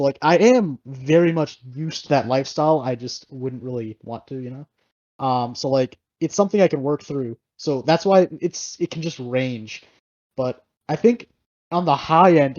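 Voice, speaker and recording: Young adult co-host, mid-pitched and soft-edged, rambling casual talk, dull recording. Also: moderate pace. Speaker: podcast co-host. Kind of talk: casual chat. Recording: dull lo-fi audio.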